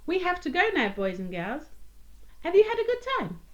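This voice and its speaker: A woman's voice: high voice, wildly swooping pitch, moderate pace, highs cut off. A woman presenting a children's puppet show, coaxing in a sing-song way with simple phrases and questions. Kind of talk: children's entertainer talk to a puppet and young audience.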